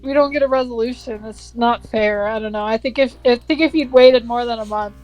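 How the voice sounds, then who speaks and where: A woman's voice: on the high side, fairly quick, a little mellow, soft background music, poor audio. A young woman reading a story aloud with casual, sweary, mock-aggrieved commentary. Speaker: co-host of a dramatic reading.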